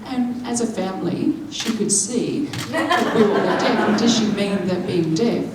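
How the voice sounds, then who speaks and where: A woman, interpreting a deaf speaker's keynote into spoken English in the first person, with a measured manner that draws in the audience. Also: mid-range voice, background noise. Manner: measured, engaging the audience with questions. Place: large conference hall. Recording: echoey hall, voice picked up from a distance.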